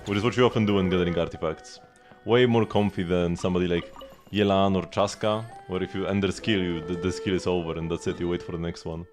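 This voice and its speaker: A man's voice: sits low, fairly even intonation, fast talker, hardly any pauses. A man giving casual, offhand live commentary as a game streamer, thinking aloud as he plays and chats with viewers. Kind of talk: casual gaming livestream commentary, chatting with viewers. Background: music.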